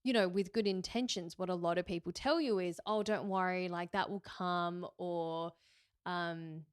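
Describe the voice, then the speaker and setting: A woman's voice: fairly low pitch, steady tone, moderate pace, smooth, no room sound, studio quality. A woman, hosting a podcast and talking through her own life in a candid, reflective way with fillers and self-corrections. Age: 30s. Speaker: podcast host. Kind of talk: reflective solo podcast talk.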